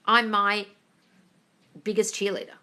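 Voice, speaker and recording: Woman from England, livestream host, bright voice, blunt and sweary, reads out chat and answers, calls everyone darling. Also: medium pitch, level tone, a little slow. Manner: reads chat comments aloud, then answers them. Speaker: manifesting coach answering live chat questions. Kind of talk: casual livestream Q&A. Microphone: phone livestream.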